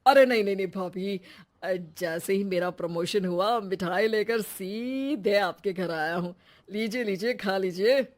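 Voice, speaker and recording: A woman's voice: mid-range voice, measured pace, lightly acted. A woman voicing a flirtatious, fawning husband in a cartoon comedy, stammering and hesitant. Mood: eager, fawning. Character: flustered, flirtatious middle-class husband, a fawning man. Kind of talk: Hindi cartoon comedy acting.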